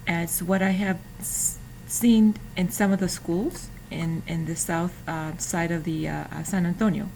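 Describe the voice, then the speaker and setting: A woman's voice: on the low side, creaky, warm tone, a little hiss or hum, low-quality recording. A woman, carefully reading a prepared class presentation. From Mexico. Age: 50s. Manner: careful, deliberate reading with some restarts. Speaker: student.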